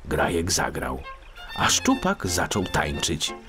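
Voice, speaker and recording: A man's voice: sits low, wildly swooping pitch, slow pace, music in the background. A man narrating a scripted children's story expressively, as a storyteller.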